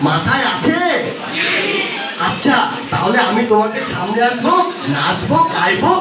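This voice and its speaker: A man's voice: high voice, big pitch swings, normal speed, a crowd in the background. A man voicing a playful, eager puppet who coaxes and questions children in a live show. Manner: exhorting, asking short checking questions. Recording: echoey, distant microphone, music behind.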